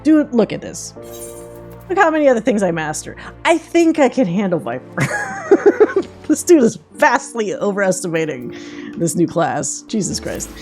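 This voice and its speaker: A woman's voice: medium pitch, very animated, moderate pace, a little mellow. A woman streaming a game with casual, playful, sweary reactions and jokey asides, game music behind her. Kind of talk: casual live playthrough reaction.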